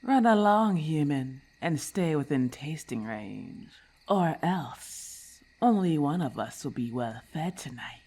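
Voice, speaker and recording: A woman voicing a haughty, predatory snake-monster lamia in an ASMR roleplay, imperious and disdainful, with deliberate formal phrasing. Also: low voice, sing-song, mellow, slightly rough, full character voice. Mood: menacing, commanding.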